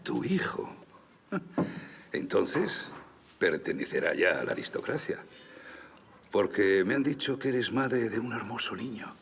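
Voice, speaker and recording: An actor playing a prim, easily scandalised older gentleman in an audio drama, fussy and expressive in manner. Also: on the low side, measured pace, creaky, long pauses, breathy edge, full character voice. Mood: curious.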